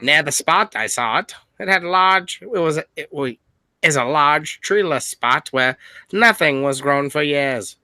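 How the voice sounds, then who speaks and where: A man's voice: on the high side, lively intonation, a little slow, bright, forceful tone, no room sound. A man reading a forum post aloud with sarcastic, laughing asides in casual comedic banter.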